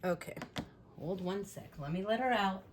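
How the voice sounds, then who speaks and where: A woman with a lingering raspy voice talking casually through a scrapbooking tutorial at home, with chatty, apologetic asides while she works. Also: medium pitch, normal speed. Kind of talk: casual crafting tutorial talk. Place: home craft room. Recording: faint room noise from fan. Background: fan running.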